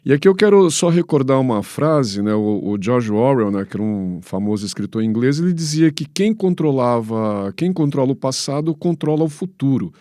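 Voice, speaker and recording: A Brazilian man answering as an expert guest in a halting, didactic way, pausing mid-phrase to search for words. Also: mid-range voice, expressive, dark tone, dry recording, studio quality. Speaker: history professor, guest commentator.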